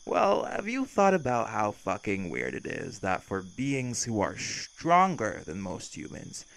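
Man playing a cocky, gravelly low-voiced vampire charmer. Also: wildly swooping pitch, measured pace, fairly bright tone, slight background noise, exaggerated voice. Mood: conspiratorial.

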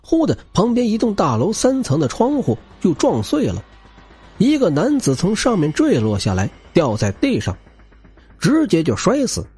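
Highly animated male audiobook narrator, slightly husky. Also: moderate pace, faint music behind. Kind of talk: dramatic scripted narration of a novel.

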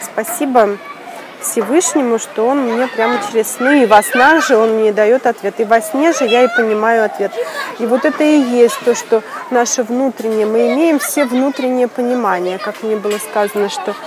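A woman's voice: fairly high pitch, normal speed. Chatty woman, noisy crowd behind. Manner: animated, laughing often, many fillers and restarts. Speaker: video blogger. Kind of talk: casual personal storytelling.

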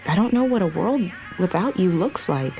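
A practical woman running a restaurant in an audio drama. Her voice sits low, and she talks plainly and gently, a little guarded, with faint music behind. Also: animated, normal speed, rough recording. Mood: tender, regretful. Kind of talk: naturalistic audio drama acting.

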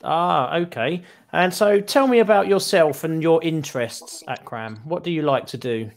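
A middle-aged British man tutors English over a headset on a video call. He talks in a relaxed, chatty way from a small room, often restarting his sentences with a repeated word. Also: fairly high pitch, normal speed, gravelly. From United Kingdom. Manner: chatty, repeats words when starting a thought. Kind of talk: casual conversation lesson.

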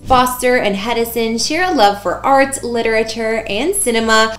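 A young woman reads scripted narration as a video presenter. Her voice sits on the higher side, and the room around it adds a clear echo. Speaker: presenter of celebrity home videos.

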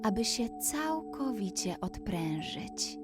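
A woman narrating a scripted bedtime story in a gentle, soothing manner, as if guiding a child toward sleep. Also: medium pitch, big pitch swings, slow. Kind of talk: slow scripted bedtime story with guided relaxation. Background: background music.

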